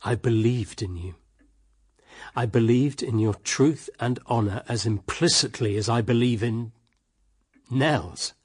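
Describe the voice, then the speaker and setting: An actor playing a jealous, proud British husband in a period radio drama, formal, severe and accusing. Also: male voice, medium pitch, measured pace, slightly rough. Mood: bitter, hurt. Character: stern, jealous, proud husband, cold and unforgiving.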